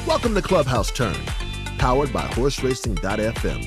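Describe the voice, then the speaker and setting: Lively announcer over a music bed. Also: male voice, medium pitch, normal speed. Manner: showy, punchy delivery. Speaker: show intro announcer. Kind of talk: produced promo voice-over.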